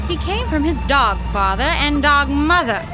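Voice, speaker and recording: A woman's voice: sits high, lively intonation, moderate pace, over music. An actress playing a tough, plainspoken, impatient young woman in an audio drama, brusque and sardonic with wisecracks. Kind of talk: audio drama acting in old-time radio manner. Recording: noisy boat engine and storm ambience.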